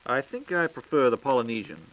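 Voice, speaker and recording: A man's voice: medium pitch, very animated, fairly quick, fairly bright tone, highs cut off. An American man hosting a scripted 1940s radio program, with a conversational, folksy way of asking and announcing. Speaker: radio show host. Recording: 1940s radio broadcast recording.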